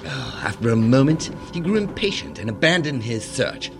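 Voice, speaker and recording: Male narrator, choral radio drama. Theatrical, drawn-out phrasing, extremely varied pitch. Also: big pitch swings, vocal fry, music in the background. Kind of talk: dramatic scripted fairy-tale narration.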